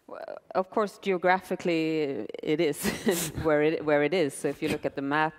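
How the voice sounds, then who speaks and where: A woman on a conference panel answering in a measured, thoughtful way, pausing with small fillers. Her voice is smooth and resonant in a mid register. It echoes with a long tail in a large hall. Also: deep voice. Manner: measured, with frequent fillers and restarts.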